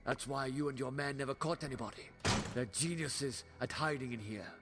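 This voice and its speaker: A man playing a righteous, sharp-tongued alien time traveller in an audio drama, commanding and sardonic. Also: medium pitch, a little fast, lightly acted. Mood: wry. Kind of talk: fan audio drama acting. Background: loud dramatic music.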